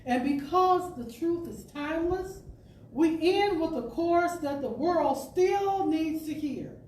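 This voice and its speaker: A woman reading an inspirational spiritual talk like a storyteller, with measured, emphatic phrasing and dramatic pauses. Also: very high-pitched, expressive, darkish tone. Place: indoor room at a spiritual center. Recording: echoey room, distant mic, faint noise.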